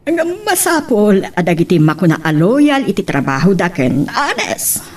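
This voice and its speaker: A woman's voice: medium pitch, big pitch swings, normal speed, recorded from a distance. A woman playing a practical businesswoman mother in an Ilocano audio drama. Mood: practical, motherly. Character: businesswoman mother planning a restaurant opening, frank and practical.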